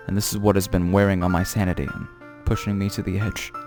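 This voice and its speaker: A man playing a paranoid, frightened young man in a creepypasta dramatic reading, telling his diary with anguished drama. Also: sits low. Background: music.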